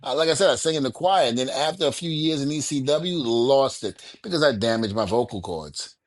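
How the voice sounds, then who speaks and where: A man's voice: mid-range voice, brisk, no room sound. Laughing storyteller man, dry mic. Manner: storytelling, animated, laughs often. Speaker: podcast co-host. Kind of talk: casual chat.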